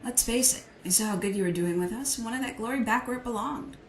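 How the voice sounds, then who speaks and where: A woman's voice: on the high side, fast, close to an everyday voice. A woman playing a blunt, loyal, sassy team leader in an audio drama, familiar in manner. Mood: frank, friendly. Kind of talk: fan audio drama acting. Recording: echoey room, faint noise.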